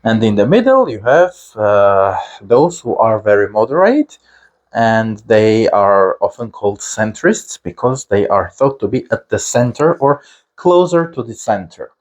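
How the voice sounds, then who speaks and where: Armenian-accented male teacher, slow deliberate delivery, pausing often on fillers. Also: lowish voice, sing-song. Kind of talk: explanatory lesson to camera.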